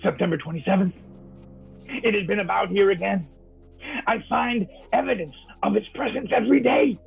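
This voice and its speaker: A male actor playing a haunted, sleepless man who fears for his sanity, in a halting, theatrical old-time radio drama monologue. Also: sits high, gravelly. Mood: haunted, weary. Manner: theatrical, halting, archaic literary diction. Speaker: actor in a live audio drama. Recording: thin phone-like audio with faint music.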